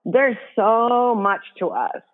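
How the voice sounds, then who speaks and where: A woman's voice: a little high, slow pace. Woman author on a tinny phone-like line. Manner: earnest, thinking aloud with many fillers. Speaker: guest author. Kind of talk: casual interview talk.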